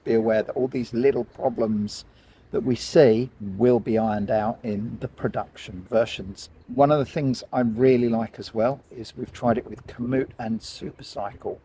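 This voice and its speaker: Husky man reviewing gear casually. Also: medium pitch, steady tone, normal speed, mellow. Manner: explanatory, informal, addresses viewers directly. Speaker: vlog host and product reviewer. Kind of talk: casual product review to camera.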